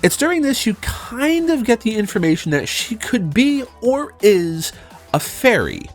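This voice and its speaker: A man with a slightly high voice narrates a game review as a channel host. His pitch moves around in a lively, animated way, and he leans on key words in a conversational, semi-scripted delivery. Music plays faintly behind him. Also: measured pace. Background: background music.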